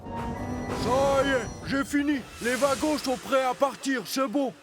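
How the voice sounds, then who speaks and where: A man's voice: very high voice, takes it slowly, music in the background. A man voicing an eager, unsure, good-natured crane locomotive in a French cartoon dub, speaking earnestly and with exclamations. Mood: proud.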